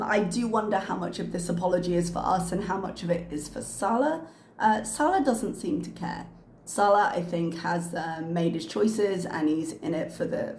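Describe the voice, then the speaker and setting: A woman's voice: lowish voice, darkish tone. A woman hosting her own commentary channel, talking frankly and chattily with plenty of ums and uhs. Her voice is a little worn from a lingering cough, and she has a slight lilt. It sounds like it was recorded in an echoey room with a faint hum of ambience behind her. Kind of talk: casual reaction commentary.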